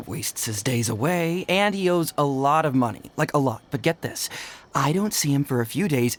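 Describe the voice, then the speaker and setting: A man's voice: on the high side, close to an everyday voice. A man voicing a chatty, gossipy townsman spreading rumors in a video game. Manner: chatty, conspiratorial. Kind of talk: game voice acting. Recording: game audio with faint music.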